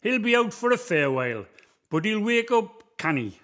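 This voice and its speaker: A man voices a cheerful ghostly roadman from the Northumbrian hills, speaking in a bright, high, lively voice with a broad Northumbrian accent. He is folksy and kindly, reciting verse and soothing a frightened visitor in a community radio play. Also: moderate pace. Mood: warm, folksy.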